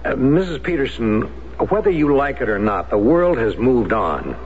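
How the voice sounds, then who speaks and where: An actor playing an evasive American consular official who talks hesitantly and diplomatically, full of fillers, in 1970s radio drama style. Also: male voice, medium pitch, very animated, moderate pace, lightly acted. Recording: muffled lo-fi radio broadcast with hiss.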